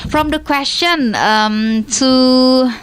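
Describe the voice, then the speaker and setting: A woman's voice: sits high, a little hiss or hum. A young woman with an Indonesian accent hosting a radio talk show, feeling for her words and restarting phrases. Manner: slow, searching, restarts phrases often. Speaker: radio host.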